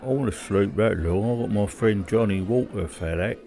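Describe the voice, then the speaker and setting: A low, mellow British voice from a male actor plays a middle-aged criminal old hand. He is world-weary and casually cold, chatting in plain colloquial speech, like naturalistic horror drama. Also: normal speed, lightly acted. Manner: matter-of-fact, colloquial. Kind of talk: naturalistic audio drama dialogue.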